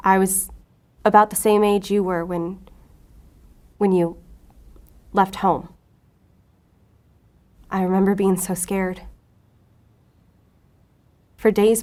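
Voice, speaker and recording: A woman's voice: medium pitch, a little mellow, lightly acted. An actress playing an earnest, determined female agent in an audio drama, pleading and pressing. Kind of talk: audio fiction drama acting.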